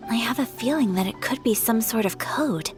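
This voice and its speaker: A high, soft-spoken young noblewoman, voiced by a woman, gracious and formally polite. Quiet game music sits faintly behind her. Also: lively intonation, normal speed, close to an everyday voice. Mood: gentle. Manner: formal, courteous speech. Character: graceful refined young noblewoman, polite and gentle.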